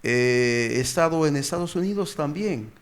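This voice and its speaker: Male pastor for informal group teaching; slightly high voice, repeats key phrases, questions his listeners. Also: animated, moderate pace. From born and raised outside Mexico, living in Mexico. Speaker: pastor, guest explainer.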